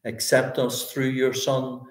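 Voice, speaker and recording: Male pastor, 60s, Northern Irish accent. Unhurried, earnest public prayer in short halting phrases, recorded in an echoey room. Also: medium pitch, completely flat delivery. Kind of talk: extempore public prayer, church service. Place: indoors, small bare-walled room.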